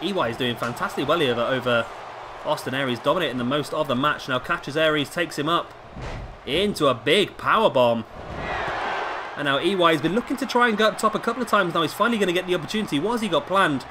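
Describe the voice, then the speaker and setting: A hoarse man with a sore throat giving casual play-by-play commentary as host of a wrestling game video. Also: a little high, animated, fast talker. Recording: clearly noisy home recording.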